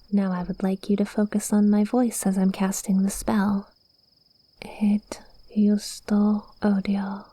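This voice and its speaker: A woman voicing a cute witch in an ASMR roleplay, softly whispering a hypnotic spell in a soothing, breathy way, close to the mic. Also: medium pitch, no echo, low-quality recording. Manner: slow, soothing, hypnotic, drawn-out phrasing. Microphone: close microphone, dry.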